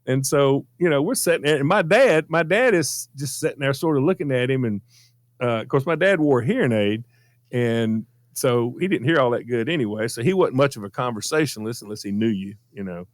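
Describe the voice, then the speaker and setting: A man's voice: mid-range voice, lively intonation, a little fast, no room sound, clean studio sound. A man with a Southern American accent telling an anecdote casually in a folksy, repetitive, emphatic way. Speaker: storytelling show regular.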